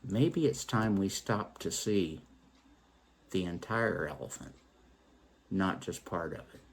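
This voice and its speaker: A man telling a story and reflecting on its moral as host, in a theatrical, emphatic, deliberate way. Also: deep voice, slight background noise. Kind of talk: dramatic poetry recital and moral reflection.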